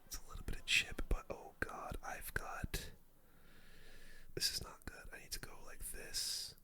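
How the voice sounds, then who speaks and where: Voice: unhurried, pushed and bright. A young adult streamer whispering casual, slangy gaming commentary in an ASMR style, close to the microphone. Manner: casual gamer slang, addresses viewers as dude and guys. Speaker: video game streamer.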